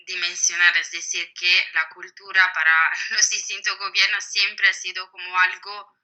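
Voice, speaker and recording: A woman's voice: on the low side, flat delivery, normal speed, pushed and bright, rough recording. A woman speaking with an Italian accent, a native Italian speaker answering interview questions as an author, thoughtful and full of hesitations. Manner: thoughtful, many hesitations and fillers.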